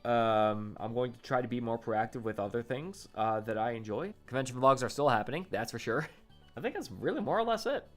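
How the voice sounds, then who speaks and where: Rambling young man, soft music behind. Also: mid-range voice, lively intonation, a little fast. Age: 20s. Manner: rambling, self-correcting, lots of fillers. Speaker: YouTuber. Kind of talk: casual vlog update. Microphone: condenser studio microphone.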